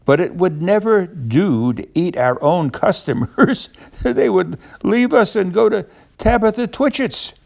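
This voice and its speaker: A man's voice: high voice, lively intonation, unhurried, vocal fry, darkish tone, pitched above the performer's own voice, full character voice. An older man voicing an anxious terrier shopkeeper from a children's storybook, speaking in fretful, formal sentences. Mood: reasoning.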